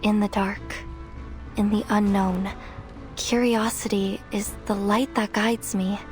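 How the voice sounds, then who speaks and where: A woman voicing a determined, wistful young explorer in a video game, speaking in a measured, reflective and earnest way. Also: mid-range voice, level tone, close to an everyday voice. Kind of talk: scripted game character voice lines. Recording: music behind the voice.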